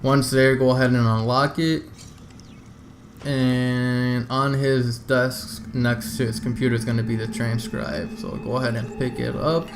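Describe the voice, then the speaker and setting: A man's voice: medium pitch, flat delivery, unhurried, background noise. A man narrating a video game walkthrough in an informal, easygoing, explanatory way. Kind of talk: casual game walkthrough commentary.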